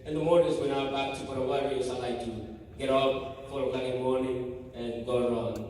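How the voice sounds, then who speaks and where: A man from Guatemala with a Guatemalan Spanish accent, a native Spanish speaker, giving a halting church testimony full of fillers and restarts. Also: medium pitch, fairly even intonation, darkish tone, slight background noise. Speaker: mission team member giving a church report. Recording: echoey church hall from far off.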